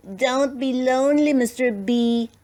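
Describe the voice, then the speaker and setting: A woman's voice: sits high, unhurried, darkish tone, voice pushed up. A woman voicing a plucky young girl, earnest and friendly, in a children's storybook. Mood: tender.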